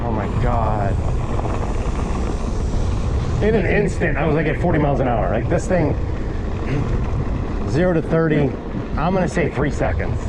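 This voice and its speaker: A man vlogging excitedly while riding an ebike on dirt hills, talking into a chest-mounted action camera, rambling and swearing casually. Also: medium pitch. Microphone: GoPro chest mount camera. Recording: loud wind-buffeted action camera audio. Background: loud wind noise on the mic.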